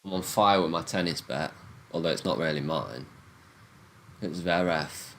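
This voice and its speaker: A man with a Nottingham accent chatting live with viewers, swearing and ranting in a casual, animated way. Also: low voice, moderate pace, slight background noise. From Nottingham, England. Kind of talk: casual live-stream chat.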